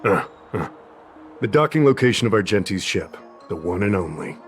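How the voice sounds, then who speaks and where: A man's voice: low voice, sing-song, normal speed. An actor playing a fretful male official who talks in a hesitant, muddled way, with faint game music behind. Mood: flustered.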